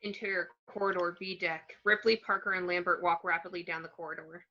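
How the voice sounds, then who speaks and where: A woman's voice: on the low side, little pitch movement, brisk, sharp tone. A woman narrating stage directions from a screenplay in short clipped phrases, on a lo-fi recording. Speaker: narrator reading action descriptions.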